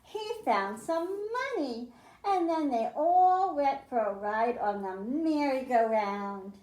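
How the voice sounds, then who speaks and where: A woman's voice: sits high, lively intonation, takes it slowly, darkish tone, echoey room, low-quality recording. A woman librarian reading a picture book aloud to children, stressing the m sounds in a sing-song storytime way, then explaining a science activity. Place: library room.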